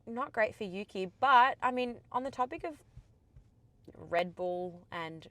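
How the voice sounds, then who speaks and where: A woman's voice: mid-range voice, expressive, measured pace, fairly bright tone, dry recording. A young woman co-hosting a podcast, chatting casually and expressively, with many "like" fillers. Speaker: podcast co-host.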